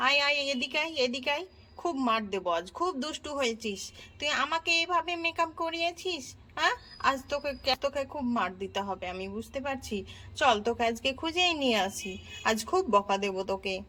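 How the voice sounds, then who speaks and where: A woman's voice: high voice, animated, fairly quick, bright, forceful tone, poor audio. A woman voicing an angry mother who scolds and threatens her naughty daughter. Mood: scolding.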